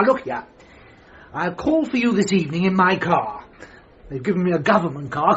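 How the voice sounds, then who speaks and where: Posh english toff, jolly and condescending, high swoopy voice, radio play. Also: male voice, a little hiss or hum. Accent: upper-class English. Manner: jovial, plummy, exclamatory. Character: breezy, condescending upper-class English gentleman. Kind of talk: radio drama acting. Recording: muffled radio drama with music behind.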